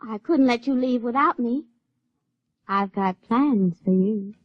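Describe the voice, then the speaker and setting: High-voiced, folksy country girl. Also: slow, no echo. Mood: coy, knowing. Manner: folksy rural speech. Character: naive country young woman with uncanny powers, lovelorn, spirited. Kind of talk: radio drama acting. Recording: dry, dull radio-drama recording.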